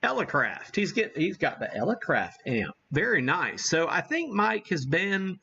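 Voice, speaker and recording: A man hosting a casual, unscripted live radio-hobby demo, thinking aloud in a halting way as he works the equipment. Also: on the high side, very animated, vocal fry, bright, forceful tone, muffled recording, slight background noise. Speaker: livestream host, amateur radio operator.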